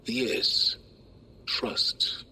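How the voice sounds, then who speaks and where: An actor playing a cool, calculating man in a video game, gravelly and deliberate with pointed pauses. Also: bright, forceful tone, exaggerated voice. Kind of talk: video game cutscene acting.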